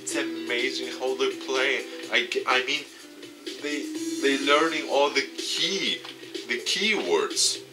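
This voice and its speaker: A man reading a visual novel aloud and commenting off the cuff, dramatic with excitable asides. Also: mid-range voice, slow. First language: not English. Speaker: let's play YouTuber. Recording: distant mic, music behind. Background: game music.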